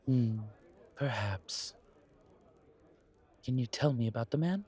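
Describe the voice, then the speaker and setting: Genteel vampire survivor, male actor, audio roleplay: world-weary and wary, unhurried delivery, mid-range pitch, courtly phrasing. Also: lively intonation, darkish tone. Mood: pensive, guarded. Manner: courtly, deliberate, pausing, sighing between phrases. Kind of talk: slow audio roleplay acting, addressed to listener.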